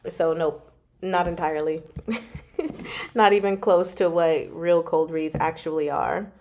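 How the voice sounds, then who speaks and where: A woman with a slightly low voice hosts a relaxed livestream chat. She talks informally with viewers, drifting into giggly asides as she answers their comments. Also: slow pace, slight background noise. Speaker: livestream host reading chapters aloud and chatting with viewers.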